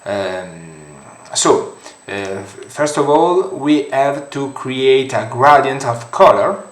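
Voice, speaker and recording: Slow, halting man with Italian accent. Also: mid-range voice, lively intonation, roomy sound. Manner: halting, many fillers and restarts. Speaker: software tutorial creator. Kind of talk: screen-recorded software tutorial.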